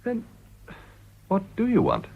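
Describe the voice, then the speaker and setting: A desperate, smooth-talking British businessman in a radio play. The voice is fairly high for a man and husky, swinging widely in pitch as he blusters and wheedles. The sound is a dull, muffled old broadcast. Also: huge pitch swings, measured pace, exaggerated voice. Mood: persuasive. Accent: British English. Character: ruthless, desperate, smooth-talking male businessman and political climber. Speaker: actor.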